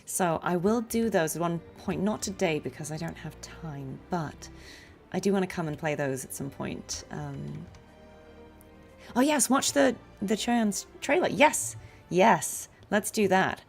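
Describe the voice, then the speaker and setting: A woman's voice: lowish voice, unhurried, vocal fry, soft background music. A woman live streaming a game, chatting casually in a musing, appreciative way.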